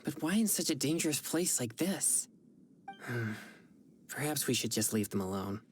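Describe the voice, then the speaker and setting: A woman voicing a polite, earnest young exorcist in a video game, speaking in a measured way. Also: extremely low pitch, lively intonation, a little hiss or hum. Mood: pondering. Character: earnest young female exorcist hunting evil spirits. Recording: game audio with background music.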